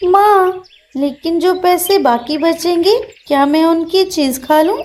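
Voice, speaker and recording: A woman's voice: very high voice, slow, a little mellow, a little hiss or hum. A woman voicing a small, innocent young male bird with childlike, pleading manner in a cartoon. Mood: curious. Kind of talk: Hindi children's cartoon dialogue.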